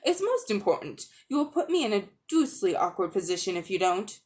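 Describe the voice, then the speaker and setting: A woman's voice: mid-range voice, sing-song, brisk, a little mellow, lightly acted. A woman voicing a vain, pompous old uncle in a dramatic storybook reading, wheedling and drawing out his words. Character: vain, pompous old man, a foolish upper-class magician uncle.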